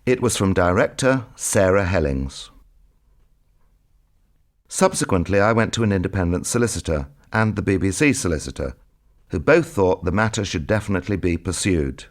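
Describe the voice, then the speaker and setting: A man giving a measured spoken recollection, as in a memoir. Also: low-pitched, lively intonation.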